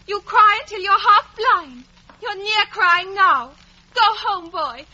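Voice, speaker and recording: A woman's voice: very high-pitched, takes it slowly, bright, forceful tone, exaggerated voice. A woman playing a haughty, spiteful young girl in a 1950s radio drama, imperious and scornful. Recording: muffled vintage radio recording.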